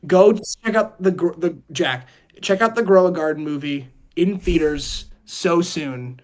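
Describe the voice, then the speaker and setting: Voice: medium pitch, unhurried. A young adult podcast host chatting casually in a group, jokey and given to repeating phrases.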